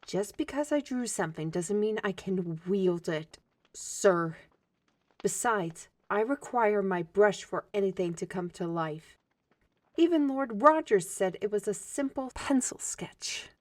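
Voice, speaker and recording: A woman's voice: mid-range voice, expressive, unhurried, close to an everyday voice. Casting: actress for firm, spirited female artist in fantasy audio drama, middle register, explains with emphasis. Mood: defensive, insistent.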